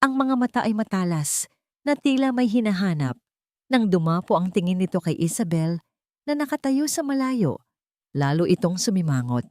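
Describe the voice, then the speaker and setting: A woman's voice: medium pitch, big pitch swings, normal speed, darkish tone, no room sound, studio quality. A woman storyteller reading a scripted romantic drama as narration.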